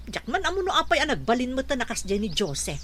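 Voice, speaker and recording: Exasperated scolding wife, bright voice. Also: female voice, on the high side, sing-song, fast talker. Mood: aggrieved. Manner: nagging, complaining. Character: exasperated working wife and mother, scolding and indignant. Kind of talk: Ilocano radio drama acting.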